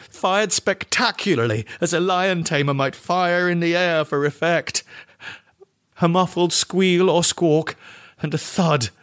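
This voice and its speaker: A man's voice: a little high, no echo, clean studio sound. A man narrating a first-person horror story in a theatrical, suspenseful audiobook manner. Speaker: podcast narrator reading horror fiction.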